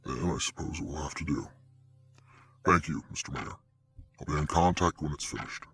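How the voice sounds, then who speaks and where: Very deep-voiced, coldly polite hitman played by a man. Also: a bit bright, slightly rough, soft background music, heavily acted. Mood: controlled, businesslike. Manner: terse, measured, deferential. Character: cold, polite, deep-voiced hired hitman taking orders. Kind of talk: audio drama acting.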